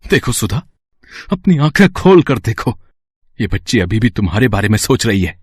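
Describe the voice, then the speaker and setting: A man's voice: lowish voice, very animated, moderate pace, husky, no room sound, studio quality. A man playing a righteous, protective uncle in a cartoon moral story, dramatic and reproachful. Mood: emotional. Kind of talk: Hindi animated moral story acting.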